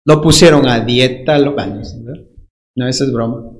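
A man's voice: mid-range voice, slow pace. A man preaching a sermon with a Dominican accent, emphatic and playful, drawing out key words and mimicking people's excuses. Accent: Dominican Spanish.

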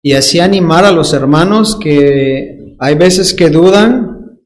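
A man with a Dominican accent preaches a sermon at an unhurried, deliberate pace, leaning hard on key words and stretching them out. His voice sits high for a man, and he slips into playful mimicry of everyday excuses. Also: animated. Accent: Dominican Spanish. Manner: emphatic, drawn-out stresses on key words.

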